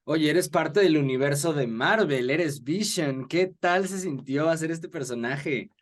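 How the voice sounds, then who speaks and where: A man's voice: on the high side, a little slow. A man with a Mexican accent asking questions and responding casually as an interviewer.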